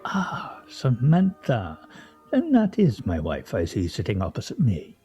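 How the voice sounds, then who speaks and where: An actor playing a pedantic, grumbling husband in a comedic radio play, with pompous, wordy, fussily articulate delivery. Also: male voice, medium pitch, huge pitch swings, measured pace, dark tone, husky, faint music behind, heavily acted. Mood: indignant.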